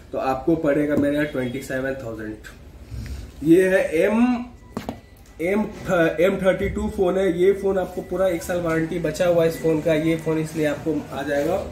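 A man gives a matter-of-fact sales pitch as a shop seller, listing products and mixing in English words. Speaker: second-hand phone shop seller. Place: small indoor phone shop. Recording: echoey room, clear background noise.

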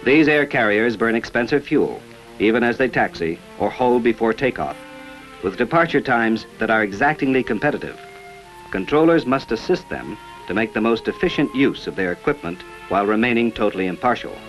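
A man reading scripted narration for an old informational film in a measured, formal manner. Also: medium pitch, animated, a bit bright, poor audio. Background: background music.

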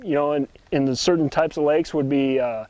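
A man's voice: a little high, a little fast, a little mellow, dry recording. A man, a pro angler in promo clips, explaining fishing tips in a conversational way.

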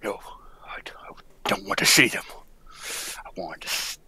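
A man playing a furtive, uneasy circus clown with a gravelly, raspy voice in a 1940s-style radio drama. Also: normal speed, bright, forceful tone, dry recording, in a whisper.

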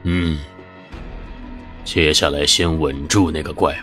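Brief: deep-voiced, secretive shadow teacher for an audio drama, slow and grave, with music underneath. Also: male voice, steady tone, a bit bright. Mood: commanding. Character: cryptic shadowy male mentor who foresees futures.

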